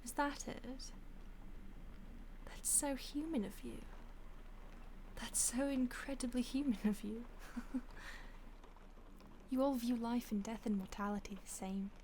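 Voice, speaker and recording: A woman playing an ancient, detached vampire stalker in an intimate audio roleplay, with a breathy voice that often drops to a whisper and a languid, teasing manner. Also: fairly high pitch, a little slow, pauses often, darkish tone, slight background noise, lightly acted. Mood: dismissive, condescending. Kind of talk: intimate audio roleplay, ASMR-like acting addressed to the listener.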